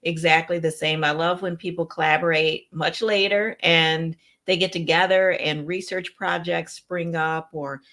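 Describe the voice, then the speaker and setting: Lower-voiced woman, warm and enthusiastic. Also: fairly even intonation, measured pace, hardly any pauses. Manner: enthusiastic, reflective, uses filler words. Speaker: co-host. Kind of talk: casual chat.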